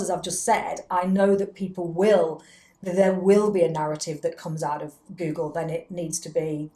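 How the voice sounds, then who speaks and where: Reflective low-voiced woman hosting a podcast. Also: mellow. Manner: reflective, rambling, self-deprecating. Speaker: podcast host, chief executive. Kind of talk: conversational podcast interview. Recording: roomy, echoing indoor sound.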